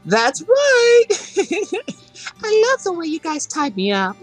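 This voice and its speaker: A woman's voice: very high voice, wildly swooping pitch, slow, soft background music, pitched above the performer's own voice, heavily acted, poor audio. A woman voicing a flirtatious, gleeful half-demon castle maid in a fan-dubbed fantasy game, coy and gushing.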